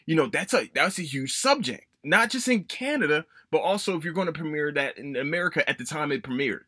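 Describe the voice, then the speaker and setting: Young dude rambling on his podcast, cussing, bright voice, super dry close sound. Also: on the high side, expressive, fairly quick, breathy edge, no room sound. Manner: rambling, profane, self-correcting. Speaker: podcast host. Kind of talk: casual solo podcast chat.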